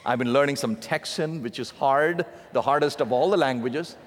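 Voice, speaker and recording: A man in his fifties with an Indian accent, preaching to a church congregation with animated asides. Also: mid-range voice, moderate pace, a little hiss or hum. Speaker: missionary preacher. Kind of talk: sermon. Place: large church sanctuary. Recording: long reverb of a big hall.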